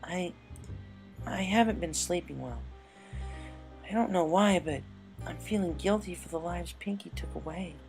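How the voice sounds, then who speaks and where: Lady doing a young cartoon pony girl, raised pitch, emotional n halting, loyal friend eaten up by guilt. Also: creaky, darkish tone, soft background music. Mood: guilty, anguished.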